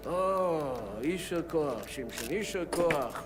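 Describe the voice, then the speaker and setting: A man's voice: on the high side, animated, fast talker, distant mic, over loud music, natural voice. A man playing a mild, thankful resistance member in a video game, speaking politely and a little hesitantly. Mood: grateful, preoccupied. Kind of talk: dramatic video game acting.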